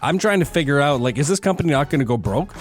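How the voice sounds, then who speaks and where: A man's voice: a little high, animated, fast talker, faint music behind. A man co-hosting a radio morning show with casual, chatty banter. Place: radio studio.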